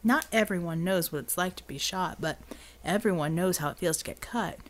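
A woman voicing a gruff, plain-spoken, tough American man in an audiobook story. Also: lowish voice, fairly quick, close to an everyday voice. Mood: coolly menacing. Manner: colloquial, blunt, coolly menacing when persuading.

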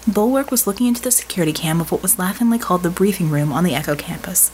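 A woman's voice: on the low side, expressive, racing, a little mellow, a little hiss or hum. A woman reading scripted fiction as an audiobook narrator.

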